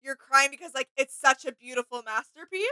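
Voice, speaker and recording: Woman cohost on a reaction vid, casual analysis, high bright voice, no room echo. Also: fairly quick. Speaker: reaction video co-host.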